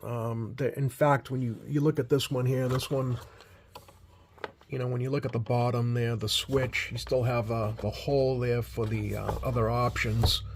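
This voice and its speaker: A man hosting a hobby video with casual, unscripted commentary, talking in a halting, rambling way and often correcting himself. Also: medium pitch, level tone, a little hiss or hum. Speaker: host of a radio hobby video.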